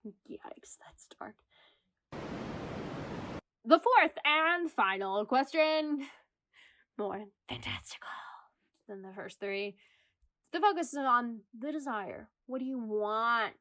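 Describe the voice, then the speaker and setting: A woman spiraling into existential dread in a radio comedy. She speaks slowly and confesses with wry, self-mocking drama, swearing as she goes. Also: on the high side, animated, a bit bright. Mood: quiet, wistful, then dramatic, wry.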